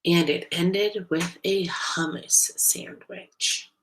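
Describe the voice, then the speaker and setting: A woman reading a picture book aloud to young children in an expressive, deliberate way, as a storyteller. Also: lowish voice, noisy background. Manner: animated, deliberate, pauses to ask listeners questions.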